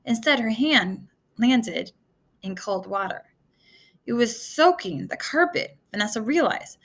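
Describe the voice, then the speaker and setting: A woman reads an adventure story aloud with lots of expression. Her voice is bright and fairly high, and her pitch moves up and down in a lively way. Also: a little slow. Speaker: storybook reader. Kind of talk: read-aloud narration of a children's adventure novel.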